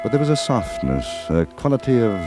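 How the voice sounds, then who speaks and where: An actor playing a weary, commanding frontier doctor in an old-time radio western, speaking in a formal, measured way. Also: male voice, medium pitch, sing-song, close to an everyday voice. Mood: somber, reflective. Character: weary, intense male frontier doctor who also narrates. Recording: old radio recording with music behind.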